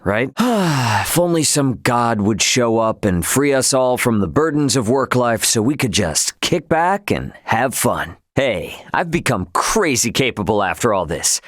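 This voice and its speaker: An actor playing a brash, easygoing young male starship captain in a video game, with a cocky, informal and expressive delivery. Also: medium pitch, measured pace, few pauses, no room sound, studio quality. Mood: relaxed, teasing. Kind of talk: video game character dialogue.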